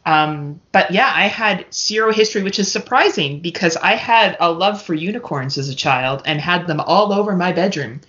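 Lady on a podcast, lowish punchy bright voice, candid and sweary, just chatting. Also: animated, normal speed, hardly any pauses. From Canada. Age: 30s or older. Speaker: podcast guest. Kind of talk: casual chat. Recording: dull, lo-fi podcast audio.

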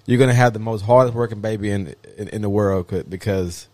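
A man co-hosting a podcast in casual chat, talking in an informal, halting, rambling way. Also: fairly low pitch, darkish tone, no echo. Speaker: podcast co-host.

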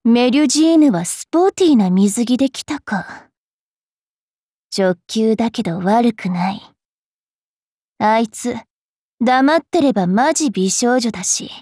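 A woman playing a haughty, mischievous young vampire fairy priestess in an anime game, brash and teasing in a rough-spoken way. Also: fairly high pitch, animated, measured pace, long pauses, dark tone, no room sound, studio quality. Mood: mocking, teasing. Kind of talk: anime game character voice lines.

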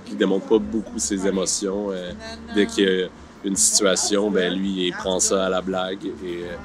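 A deep-voiced man answers interview questions in a halting way, pausing often. He is outdoors, with music clearly playing behind him. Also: little pitch movement. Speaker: actor being interviewed. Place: outdoor park film set.

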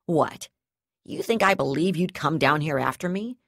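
A woman reading the lines of a ruthless, foul-mouthed female brigadier in an audiobook, snarling and sneering loudly. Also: sits low, animated, moderate pace, no room sound, studio quality, heavily acted. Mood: snarling threats. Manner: snarling, sneering, loud threats.

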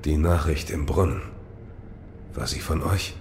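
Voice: extremely low pitch, unhurried, creaky, darkish tone, a little hiss or hum, exaggerated voice. An actor in an audio drama playing a grim, loyal shinobi warrior who speaks in terse, solemn phrases. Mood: terse, guarded.

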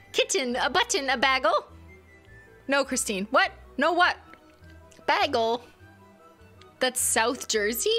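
A woman's voice: high voice, pushed and bright. A young woman hosting a casual livestream, reading chat with a playful, teasing manner and frequent laughs. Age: young adult. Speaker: livestream host. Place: home streaming room, indoors. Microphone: studio mic on boom arm.

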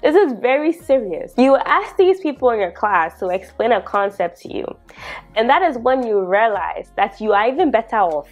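Animated young woman with a Ghanaian accent. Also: normal speed, dull audio, faint music behind. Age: 20s. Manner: animated and expressive, laughing often, exclaiming in sudden high bursts. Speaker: vlogger. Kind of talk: casual storytelling to camera. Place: small bedroom studio indoors. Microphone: lapel mic.

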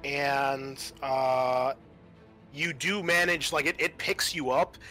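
A man acts as game master at a tabletop game, with a bright, forward voice at a middling pitch. He narrates casually and hesitantly, pausing between phrases and switching into reading rules. Faint music plays behind him. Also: fairly even intonation.

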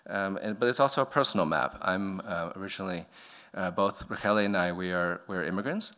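Deep-voiced man lecturing from a podium. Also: fairly quick, pushed and bright. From born in Vietnam, raised in the US. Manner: hesitant, many fillers and restarts. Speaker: architect giving a talk. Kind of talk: lecture with slides. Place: dark auditorium stage at a podium.

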